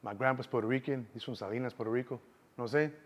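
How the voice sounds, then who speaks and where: A man's voice: medium pitch, fairly even intonation, racing. A man in his thirties with a Chicago accent, a guest in a casual street interview, talking loosely and constantly saying "you know what I'm saying".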